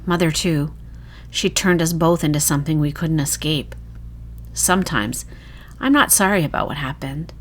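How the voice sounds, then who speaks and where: A woman reading a first-person horror short story in a dramatic, emotive, hushed storytelling manner. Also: sits low, moderate pace.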